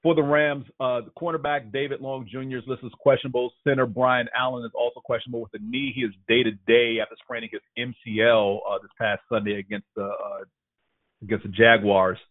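A man's voice: mid-range voice, flat delivery, fairly quick, dry recording. A man co-hosting a casual sports podcast, going over injury news and power rankings. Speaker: podcast co-host. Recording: tinny phone-like dry sound.

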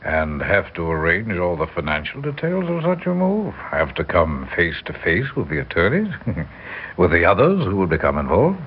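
An actor in a 1960s radio drama playing a fussy, reclusive wealthy husband with a formal, persuasive manner. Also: male voice, deep voice, huge pitch swings, moderate pace, a little hiss or hum, heavily acted. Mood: firmly persuasive. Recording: dull old radio recording.

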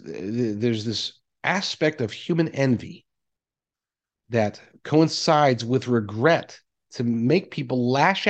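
Guy podcast host, medium pitch, talking straight to listeners, conversational but emphatic. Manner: conversational, emphatic, voicing imagined replies. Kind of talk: opinion monologue to the audience.

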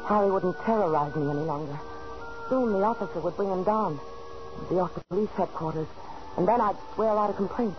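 An actress playing a frightened, scheming wife who narrates anxiously in the dramatic style of a 1940s radio thriller. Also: female voice, fairly low pitch, close to an everyday voice. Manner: anxious, dramatic first-person delivery. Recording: muffled old 1940s radio recording, organ music.

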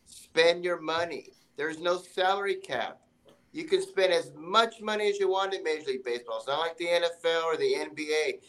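A man with a fairly high voice argues his point on a sports talk show. He speaks emphatically in short, punchy sentences. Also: fairly quick, fairly bright tone, low-quality recording. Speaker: panelist.

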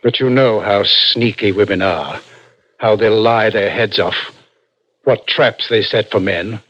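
Sly police interrogator, falsely confiding, halting and deliberate, dull vintage radio sound, dry studio. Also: male voice, on the low side, fairly bright tone, no room sound. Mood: sly, needling. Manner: deliberate, halting, insinuating, leading questions. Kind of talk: 1960s radio drama acting.

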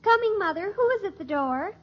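A woman's voice: extremely high pitch, lively intonation, fairly quick. A woman playing a girlish, melodramatic teenage daughter in a 1950s radio sitcom, gushing and dreamy. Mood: curious. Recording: muffled old 1950s radio recording, faint hiss.

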